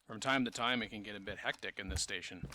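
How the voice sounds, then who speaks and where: An actor playing a guarded, businesslike police captain in a fan audio drama, speaking in a matter-of-fact official way. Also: male voice, on the low side, quick pace, background noise, natural voice.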